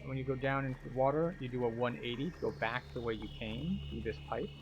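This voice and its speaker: A man's voice: medium pitch, fast talker, highs cut off, noisy background. A man giving casual, explanatory commentary as a tour guide through a video game.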